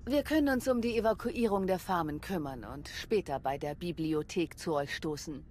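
A woman's voice: lowish voice, normal speed, a little mellow, slight background noise, close to an everyday voice. An actress playing a composed, resolute young female commander in a video game, speaking in a businesslike, earnest way. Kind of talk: video game cutscene acting.

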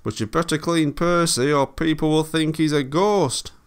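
Man doing a high, hearty railway signalman. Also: steady tone, moderate pace, clean tone, lightly acted. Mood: helpful. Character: brisk, helpful adult male railway signalman.

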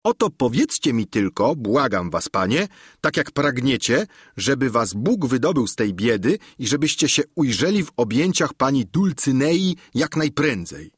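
A man's voice: mid-range voice, very animated, moderate pace, slightly rough, no echo, clean studio sound, pitched above the performer's own voice. A man voicing a simple, earnest peasant squire who talks in a blunt, folksy, pleading way.

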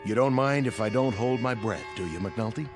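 A man's voice: mid-range voice, brisk, over music, natural voice. A man playing a sarcastic, put-upon bar owner in a radio drama, with dry delivery. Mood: dry, weary.

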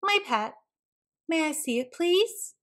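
A woman's voice: sits high, lively intonation, takes it slowly, voice pushed up. A woman voicing an eager, determined little boy in a children's storybook.

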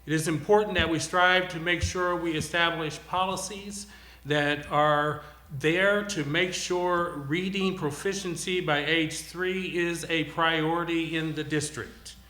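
Bright, forceful man speechmaking in roomy sound. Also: a little high, steady tone, a little slow. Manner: formal, declarative, addressing the audience directly. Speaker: school board candidate. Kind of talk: prepared campaign speech. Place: TV studio. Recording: roomy, echoing studio sound.

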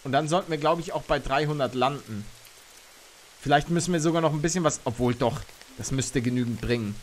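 A man's voice: a little high, fairly quick, a little hiss or hum. Chatty young male streamer, faint rain behind. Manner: chatty, reads chat aloud, bursts into excited shouts. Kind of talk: live game stream chat. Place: home streaming room, indoors. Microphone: studio mic on arm near face.

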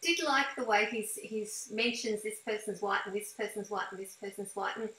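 A woman's voice: mid-range voice, normal speed, pushed and bright, echoey room, poor audio. A middle-aged woman interviewing someone casually over a video call. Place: indoor room with blinds on a video call. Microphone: video call, computer microphone.

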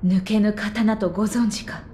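An actress playing a gentle, sorrowful temple priestess in a video game, with formal, grave, hushed delivery. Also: female voice, mid-range voice, fairly even intonation, unhurried, a little hiss or hum, close to an everyday voice. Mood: solemn. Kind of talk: video game drama acting. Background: music.

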